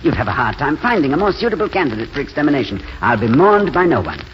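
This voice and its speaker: An actor playing a courteous, wistful, idealistic drifter in a 1940s radio drama, speaking in an eloquent, genteel way. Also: male voice, fairly high pitch, sing-song, quick pace. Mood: wistful, intense. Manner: eloquent, genteel, hesitant openings. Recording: dull, faintly noisy 1940s radio recording.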